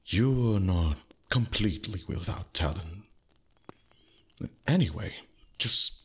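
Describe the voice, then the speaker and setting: A man voicing a haughty, teasing male dragon in intimate ASMR roleplay, husky and breathy, condescending with flustered pauses. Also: low voice, exaggerated voice. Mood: flustered, grudging.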